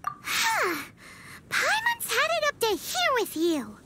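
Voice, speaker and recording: A woman voicing a tiny, excitable and impatient childlike girl fairy with a squeaky voice, in a cartoonish video game style. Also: takes it slowly, very noisy, exaggerated voice. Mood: impatient, exasperated. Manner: excitable, refers to self by name. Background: music.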